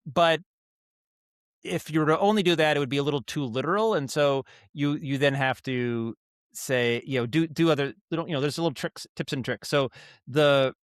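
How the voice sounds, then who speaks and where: A man's voice: a little high, normal speed, clean tone, no room sound, clean studio sound. A man talking casually as an interview guest, explaining his craft in a thoughtful, rambling way with frequent self-corrections. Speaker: podcast guest, audio storyteller and director.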